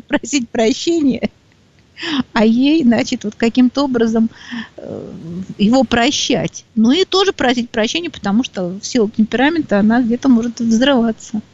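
A woman co-hosting a casual discussion, speaking conversationally and hesitantly as she searches for words, on a dull recording. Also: fairly high pitch. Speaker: talk show co-host. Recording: dull, band-limited sound.

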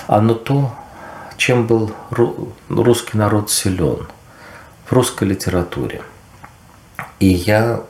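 Slow deep-voiced man in a roomy space. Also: darkish tone. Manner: measured, with pauses and recited verse. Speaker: speaker giving a talk on history. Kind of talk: reflective lecture-style talk. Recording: roomy echo.

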